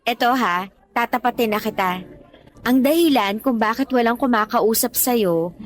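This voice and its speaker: A woman's voice: a little high, normal speed, lightly acted. A young woman playing a friendly, frank, talkative college student in a Tagalog radio drama. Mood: frank, teasing. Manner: candid, teasing, talkative.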